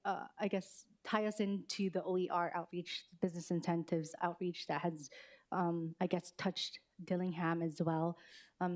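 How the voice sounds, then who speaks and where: A woman's voice: lowish voice, a little mellow. Hesitant woman chairing a council meeting. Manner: rambling, hesitant with many fillers. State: losing her voice. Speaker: committee chair.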